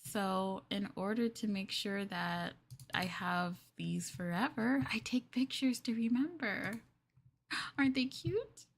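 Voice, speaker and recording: A woman playing a clingy, lovestruck yandere girlfriend in an ASMR roleplay, soft, coy and doting, with a hesitant, flustered way of talking. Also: medium pitch, vocal fry, breathy edge, heavily acted.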